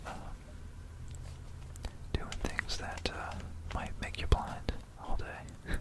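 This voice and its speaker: A person whispering an informal, musing history talk for ASMR, correcting themselves along the way, close to a stereo microphone. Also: takes it slowly. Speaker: ASMR video creator. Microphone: close stereo binaural microphone.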